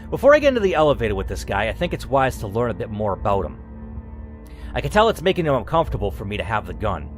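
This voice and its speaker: A man reading an interactive story aloud, adding animated asides as he reacts. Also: lowish voice, fast talker, soft background music.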